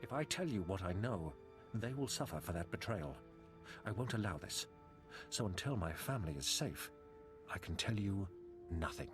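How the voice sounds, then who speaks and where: A proud captive nobleman from a sci-fi video game. His fairly low voice is formal, measured and haughty. Clear music runs behind the speech. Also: expressive. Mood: insistent. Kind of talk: scripted video game dialogue.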